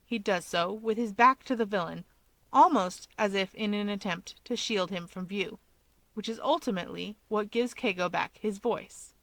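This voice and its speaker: A woman narrates fiction in an expressive read-aloud style. Her pitch sits in an average range for a woman. The recording is very dry, with no room sound. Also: normal speed, dry recording. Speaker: story narrator.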